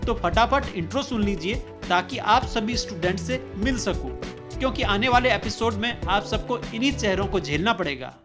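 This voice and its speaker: Woman, scripted vlog voice-over, mid-pitched, clear music bed behind. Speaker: narrator. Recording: music under the voice. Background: background music.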